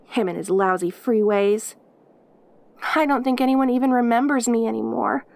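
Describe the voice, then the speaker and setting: A woman's voice: a little high, normal speed, vocal fry, a little mellow, dry recording. A woman voicing a perky, lonely caged demon girl in an intimate audio roleplay, with an eager, rambling, stammering manner. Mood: chatty, wistful. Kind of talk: audio roleplay acting, addressing the listener intimately.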